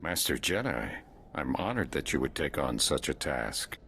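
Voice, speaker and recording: A dignified task force leader in a sci-fi game. His voice is extremely deep yet moves widely in pitch, formal and courteous, with faint noise. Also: very animated, moderate pace, gravelly, sharp tone, full character voice. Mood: courtly. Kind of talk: video game character acting.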